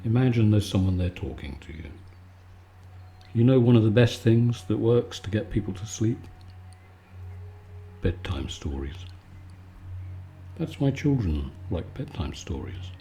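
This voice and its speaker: A man giving a calm, soothing, conversational bedtime chat in a relaxed ASMR style. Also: lowish voice, measured pace, long pauses, soft background music. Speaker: video maker and host.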